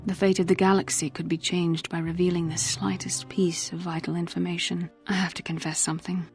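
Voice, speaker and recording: An actress playing an earnest, solemn young Jedi warrior in a video game, with formal, grave delivery. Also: female voice, fairly low pitch, flat delivery, a little fast, darkish tone, faint music behind, natural voice. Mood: grave, sorrowful. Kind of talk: dramatic video game acting.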